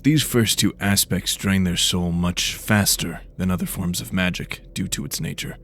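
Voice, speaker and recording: A man's voice: sits low, moderate pace, a little hiss or hum. A man playing a brusque investigator of magical murders in a fantasy audio drama, blunt and demanding. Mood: grim, explanatory.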